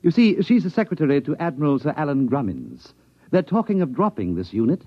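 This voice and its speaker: An actor playing a wry, quick-witted captain in the British army, teasing and persuasive, in a 1950s radio drama. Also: male voice, a little high, very animated, no echo. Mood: slyly casual. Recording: dull vintage radio broadcast.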